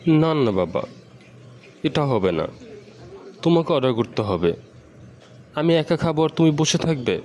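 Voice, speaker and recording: A man's voice: on the high side, big pitch swings, measured pace, long pauses, darkish tone, slight background noise. A man playing a dutiful, affectionate schoolboy son in an amateur educational cartoon, polite and earnest. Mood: earnest, insistent.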